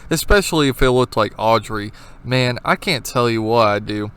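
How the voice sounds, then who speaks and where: A man's voice: mid-range voice, normal speed, a bit bright, clear, resonant tone. Man narrating casual, explanatory horror commentary. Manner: informal, explanatory. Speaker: video essayist, horror series analyst.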